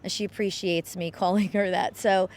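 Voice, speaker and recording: A woman's voice: mid-range voice, fairly even intonation, moderate pace, a little mellow. A woman answering a street interview in a chatty, informal way.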